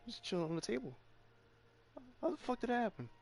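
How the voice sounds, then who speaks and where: A man's voice: extremely high pitch, sing-song, fast talker, vocal fry, a little mellow. A man giving casual, informal reaction commentary as he streams a game, muttering asides and swearing. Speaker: gameplay streamer. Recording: game music behind the voice.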